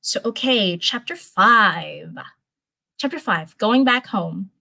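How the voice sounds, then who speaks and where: A woman's voice: medium pitch, animated, takes it slowly, gravelly, pushed and bright. A woman streamer chatting informally and reading fan fiction aloud, often repeating herself as she goes. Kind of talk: casual livestream chat and read-aloud storytelling.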